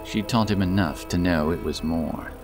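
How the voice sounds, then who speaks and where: A man's voice: low-pitched, normal speed, over music. A man narrating a fantasy audiobook as a scripted dramatic story, with intense, theatrical delivery.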